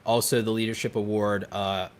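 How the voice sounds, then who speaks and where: A man with a fairly low voice talks casually on a podcast. He is a geeky, animated storyteller who jokes and repeats phrases for emphasis. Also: moderate pace. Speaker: podcast co-host. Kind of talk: casual chat.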